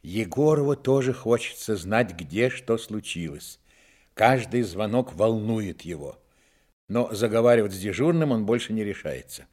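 A man narrating a radio drama as an expressive storyteller in a scripted, dramatic style. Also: fairly low pitch, normal speed. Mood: suspenseful. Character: storyteller narrating young detectives' lives, expressive.

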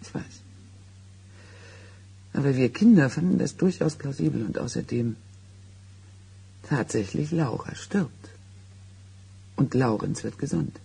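Radio drama role: haunted man confiding an old secret, very husky, mellow, halting and dramatic. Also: mid-range voice, expressive, exaggerated voice. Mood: intense, confiding. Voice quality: husky, gravelly. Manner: halting, dramatic storytelling with weighted pauses. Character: haunted man recounting an eerie childhood secret. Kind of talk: German radio play acting.

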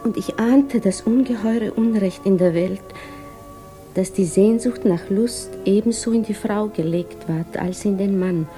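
An actress playing a grieving, lonely woman who recounts her sorrow in a solemn, hushed 1950s radio drama monologue. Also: mid-range voice, measured pace, music in the background, natural voice. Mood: solemn, reflective. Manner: solemn, hushed, reflective, often sinking toward a whisper.

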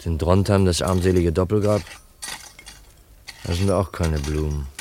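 A man's voice: sits low, fairly even intonation, unhurried, mellow. A man playing a shy, proud, lonely young orphan in a 1950s German radio drama, hesitant and brooding, on a noisy old recording. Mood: hesitant, pensive. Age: young adult.

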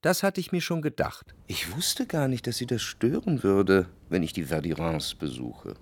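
An actor playing an introspective, jealous lover who narrates his memories in a measured, literary radio drama style. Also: sits low, lively intonation. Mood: reflective, brooding. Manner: reflective, measured literary delivery in long sentences.

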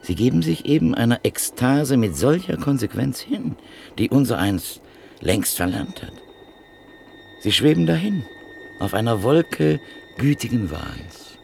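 A man narrating a radio drama, speaking in a reflective and measured way. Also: medium pitch, sing-song, creaky, darkish tone, a little hiss or hum. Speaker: narrator and listener in a radio play. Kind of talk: 1950s radio drama acting.

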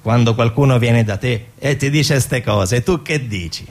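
An actor playing a brash, cynical building contractor with shady ties, talking in a colloquial, persuasive way. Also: male voice, mid-range voice, a little slow. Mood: insinuating. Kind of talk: theatrical dialogue.

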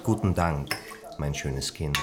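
A man's voice: rumbling low, takes it slowly, roomy sound, noisy background. An actor playing a florid, sentimental ageing man who declaims solemnly and theatrically in a radio drama. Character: florid, sentimental ageing male poetry reciter.

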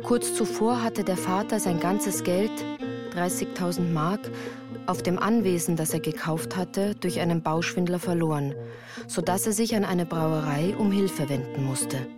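A woman's voice: fairly low pitch, a little slow. A woman reading scripted first-person narration for a radio play. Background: music.

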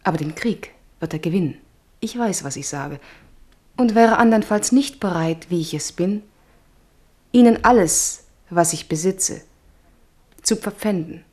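A woman's voice: fairly low pitch, animated, measured pace, pauses often, darkish tone. A woman playing a poised noble lady, the king's beloved, in a radio drama, speaking firmly. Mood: resolute. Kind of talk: 1970s radio drama acting.

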